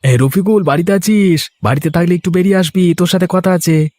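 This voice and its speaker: A man voicing an excitable, proud middle-aged village father in a moral-story cartoon, with a folksy, exclamatory manner. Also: high-pitched, brisk, no echo, clean studio sound, close to an everyday voice. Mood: friendly, earnest.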